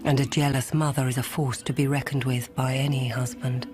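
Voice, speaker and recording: A woman's voice: rumbling low, flat delivery, fairly quick, over music. A woman playing an adult narrator looking back on childhood in scripted, reflective radio drama narration. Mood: wry, reflective.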